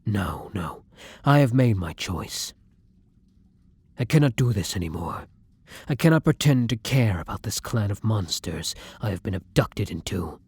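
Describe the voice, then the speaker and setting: A man playing a kind, tormented vampire in an intimate roleplay audio drama, murmuring softly and breathily in formal, old-fashioned phrasing. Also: lowish voice, animated, a little slow, breathy edge, no room sound, full character voice. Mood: soothing, solemn, then bitter, resolute. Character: kind, tormented male vampire, tender and protective lover.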